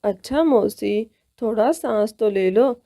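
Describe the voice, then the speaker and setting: A woman plays an ageing male cartoon crow, good-natured and resigned. The delivery is slow and mellow, recorded dry. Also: mid-range voice, gravelly, no echo. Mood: mild.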